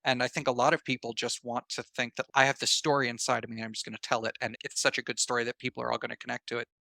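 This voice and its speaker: A man's voice: mid-range voice, flat delivery, rapid-fire, vocal fry, pushed and bright, no echo, studio quality. A man speaking as an interview guest, reflective and earnest, emphatically rephrasing his points as he explains. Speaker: podcast guest, writer and actor.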